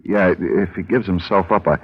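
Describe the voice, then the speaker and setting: Troubled working-class brother, deep voice, halting, heavy-hearted, plain-spoken, muffled old radio drama. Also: male voice, breathy edge. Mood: resigned, reluctant. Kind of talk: 1950s radio drama acting. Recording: dull vintage radio recording.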